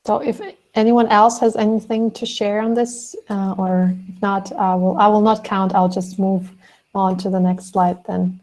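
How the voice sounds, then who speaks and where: Woman moderator on a video call, bubbly, quick to laugh, mid pitch. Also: flat delivery, darkish tone, low-quality recording. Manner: bubbly, laughs often, encouraging. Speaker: roundtable moderator. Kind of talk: casual online roundtable chat.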